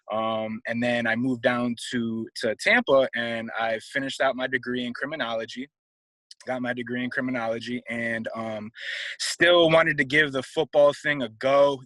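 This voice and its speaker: A man's voice: mid-range voice, pushed and bright, dry recording, poor audio. A man in his late twenties from Connecticut, a fitness trainer and guest, talking casually and rambling with lots of "um" and "you know". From Connecticut, USA. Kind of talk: casual interview talk.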